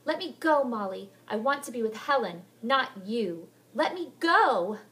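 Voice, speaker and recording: Woman doing a frantic, high young girl. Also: lively intonation, measured pace, a bit bright, exaggerated voice. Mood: shrieking. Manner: pleading, sobbing and shrieking.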